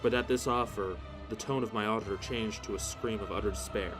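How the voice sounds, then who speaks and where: A man's voice: fairly low pitch, steady tone, brisk. A man narrating a horror story in the first person, solemn and theatrical. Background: music.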